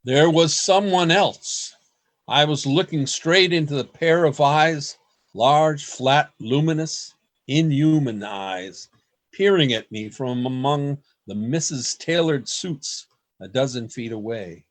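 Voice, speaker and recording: Man playing anxious writer, slow and deliberate. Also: a little high. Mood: hushed dread. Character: anxious male writer narrating his secret diary. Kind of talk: dramatic radio play narration.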